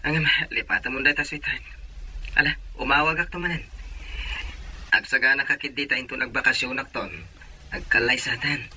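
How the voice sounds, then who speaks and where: Man acting a bright, forceful young boyfriend. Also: mid-range voice, fairly even intonation, a little fast, pauses often, slight background noise. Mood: affectionate. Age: young adult. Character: devoted young boyfriend and son working away. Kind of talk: dramatic radio-drama dialogue.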